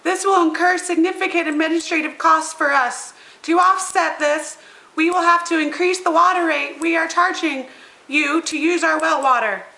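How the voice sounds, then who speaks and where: A woman's voice: extremely high pitch, steady tone, moderate pace, sharp tone, heavily acted. A woman playing an earnest small-town official, reciting scripted lines in an amateur puppet show. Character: earnest female small-town official seeking economic revival. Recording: roomy, echoing room sound.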